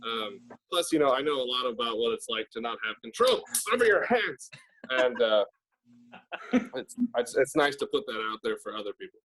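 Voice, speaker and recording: A man's voice: mid-range voice, sing-song, moderate pace, fairly bright tone, rough recording. A man talking casually on a group call in an agreeable, slightly hesitant way. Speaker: puppeteer. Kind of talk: casual chat. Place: remote virtual call.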